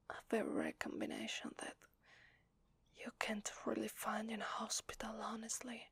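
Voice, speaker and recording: A woman with an Italian accent voicing a weary, desperate vampire in a hesitant, breathy ASMR roleplay whisper. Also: a little high, a bit bright, dry recording, full character voice. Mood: pleading, apologetic. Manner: hesitant, slow, confiding. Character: weary, desperate, apologetic young-sounding female vampire.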